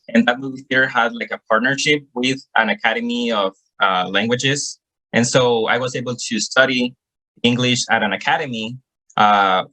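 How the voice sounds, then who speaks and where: Bright-voiced young Salvadoran man. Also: mid-range voice, poor audio. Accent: Salvadoran Spanish. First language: Spanish. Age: young adult. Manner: earnest, repeats words while searching for phrasing. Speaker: guest student. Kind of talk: answering questions on a video call. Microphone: video call.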